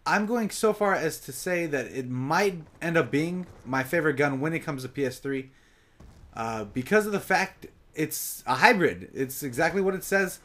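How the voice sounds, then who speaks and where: A young man giving casual live commentary over his own gameplay, talking straight to his viewers, his voice a bit raspy from long sessions. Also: a little high, animated, moderate pace, a bit bright. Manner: chatty, casual, addresses viewers directly.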